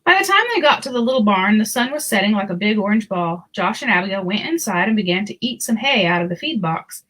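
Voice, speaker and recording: A woman narrating a children's storybook aloud in an expressive, deliberate storytelling manner. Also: mid-range voice, low-quality recording.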